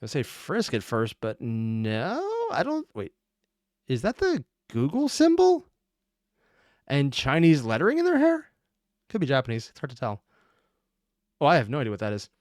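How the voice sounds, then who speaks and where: A man's voice: medium pitch, wildly swooping pitch, moderate pace, long pauses, darkish tone, dry recording, studio quality. A man giving casual, sweary, exclamatory reaction commentary as the host of a video.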